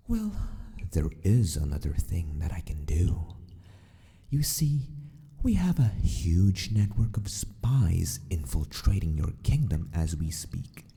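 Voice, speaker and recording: A man acts out a sadistic male mad scientist toying with a captive in an ASMR roleplay. His breathy, whispery delivery is slow and swings widely in pitch, very dark in tone. He stays mock-polite while sounding possessive and menacing. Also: heavily acted. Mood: menacing, gloating.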